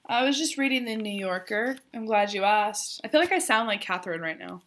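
A woman's voice: medium pitch, expressive, a little fast, fairly bright tone. A young woman co-hosting a podcast, chatting casually in a dry, wry way while sick. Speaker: podcast co-host. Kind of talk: casual chat.

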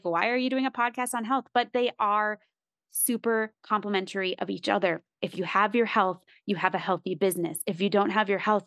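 A woman's voice: medium pitch, no room sound. Woman podcast host, middle-aged, motivational and chatty; dry recording. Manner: upbeat, motivational, chatty with fillers. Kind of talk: podcast intro and interview.

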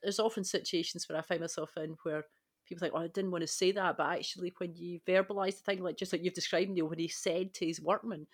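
A woman reflects aloud as a panellist in a podcast conversation. Her voice is a little on the low side, and she talks in a conversational way. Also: fairly even intonation, fast talker.

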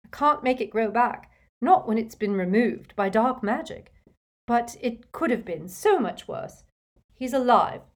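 A woman's voice: fairly high pitch, wildly swooping pitch, takes it slowly, pitched above the performer's own voice, exaggerated voice. A woman voicing an anxious middle-aged mother in a storybook, emotional, fretful and tearful. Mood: shaken, relieved.

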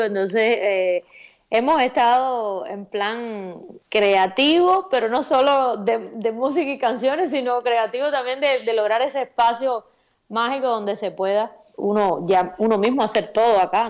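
Cuban woman musician, warm searching speech. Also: medium pitch. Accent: Cuban Spanish. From Cuba. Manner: thoughtful, repeats words while searching for them, laughs easily. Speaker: singer-songwriter guest. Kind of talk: relaxed interview chat.

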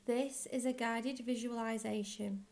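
Slow, higher-voiced woman guiding a meditation. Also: steady tone. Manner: measured, gentle phrasing with long pauses. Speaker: guided meditation leader. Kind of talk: guided visualisation, slow scripted narration.

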